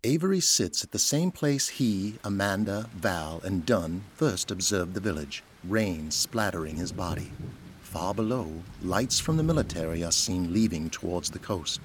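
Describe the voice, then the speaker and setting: Man, audio drama narrator, lowish voice, expressive pitch swings, noisy backdrop. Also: normal speed. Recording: clear background noise.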